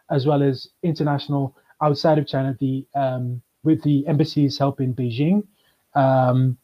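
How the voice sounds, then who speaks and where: A man, a guest speaking in a measured, explanatory way on a video call. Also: fairly high pitch. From Kenya. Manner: measured, explanatory, with frequent ums. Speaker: guest, chamber of commerce manager. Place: indoors, plain room. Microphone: webcam on video call. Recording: dull lo-fi video call audio.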